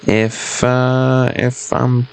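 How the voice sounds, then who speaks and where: A man giving a casual, unscripted technical explanation in a halting way, as a hobbyist presenting his own project. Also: medium pitch, level tone, clear, resonant tone. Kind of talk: casual explanatory vlog narration.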